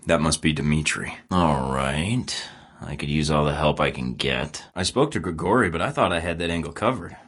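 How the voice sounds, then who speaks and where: A man's voice: a bass voice, expressive, moderate pace, fairly bright tone, full character voice. An actor playing a cocky young male secret agent in a video game, delivering dry, sarcastic, terse lines. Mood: cool. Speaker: game character.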